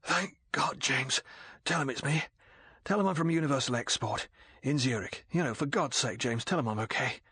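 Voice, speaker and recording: A man voicing a battered, desperate British agent with a hoarse voice. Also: medium pitch, normal speed, pushed and bright, dry recording, voice pushed up.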